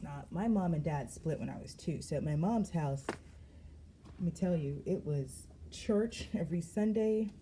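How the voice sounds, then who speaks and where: Woman tarot reader, low dark voice, slangy and emphatic, faint background noise. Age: adult. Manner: lively, slangy and emphatic, with frequent laughs. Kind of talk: casual spiritual reading vlog.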